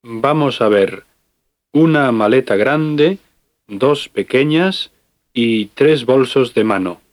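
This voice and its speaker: A man in his fifties playing a doctor travelling with his family, speaking clearly and carefully in a scripted language-lesson dialogue. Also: mid-range voice, no room sound, close to an everyday voice. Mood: calm. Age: 50s. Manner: clear, careful, deliberate delivery. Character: fifty-year-old male doctor on family trip.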